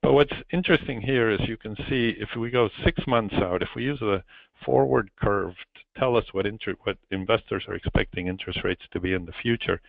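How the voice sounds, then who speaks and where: A man giving an explanatory financial presentation as an investment chair, speaking haltingly and repeating phrases over a conference call phone line. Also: lowish voice, creaky, dry recording. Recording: muffled narrowband phone line.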